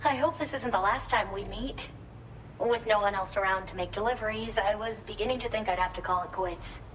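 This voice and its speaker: Actress as a friendly game outpost woman, earnest, conversational, mid-pitched, slightly off-mic, faint ambience. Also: fairly quick, distant mic, noisy background, natural voice. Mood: friendly, hopeful. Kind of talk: scripted video game dialogue.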